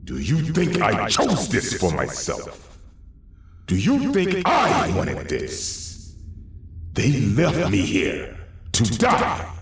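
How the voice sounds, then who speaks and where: An actor in an audio drama playing a vengeful, embittered burned man turned monster, speaking in a slow, menacing, deliberate way. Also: medium pitch, wildly swooping pitch, full character voice. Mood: bitter, impassioned. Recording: very echoey, distant, faintly noisy.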